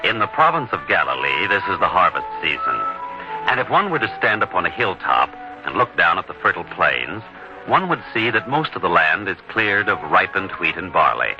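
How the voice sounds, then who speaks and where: A man narrating in a solemn old-time radio style, grand and deliberate, with dramatic pauses between phrases. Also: low voice, big pitch swings. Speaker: narrator, announcer. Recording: dull, lo-fi radio recording. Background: music.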